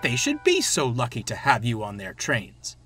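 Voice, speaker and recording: A man's voice: mid-range voice, wildly swooping pitch, a little slow, a bit bright, soft background music. A man voicing a proud, easily hurt young tank engine in a storybook, with an earnest, emphatic manner. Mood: indignant.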